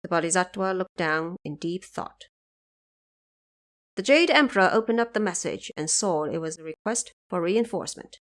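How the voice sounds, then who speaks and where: A woman's voice: lowish voice, expressive, moderate pace. A woman reading scripted narration as the storyteller of an audio drama.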